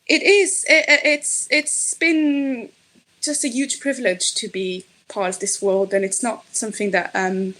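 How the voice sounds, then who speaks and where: A woman's voice: on the high side, fairly even intonation, measured pace, bright, forceful tone. A middle-aged woman from Israel, a native Hebrew speaker, speaking thoughtfully in an interview with many fillers and restarts. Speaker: podcast guest, fashion designer. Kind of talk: conversational interview.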